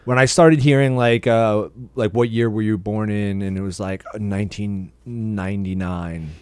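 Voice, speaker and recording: A man's voice: fairly low pitch, fairly even intonation, normal speed, clear, resonant tone, no room sound. An American man in his thirties chatting casually and profanely as a podcast co-host. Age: 30s.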